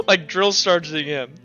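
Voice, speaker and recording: A man running a tabletop game, improvising narration in a halting, casual, slangy way. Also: high voice, pushed and bright. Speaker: game master. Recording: muffled, music behind. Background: background music.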